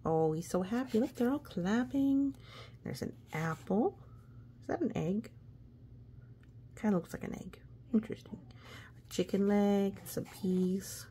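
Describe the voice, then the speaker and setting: A woman's voice: mid-range voice, measured pace, long pauses, mellow, a little hiss or hum. A woman reading a children's story aloud with playful, exclamatory asides, talking as if to a young child. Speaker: picture book reader.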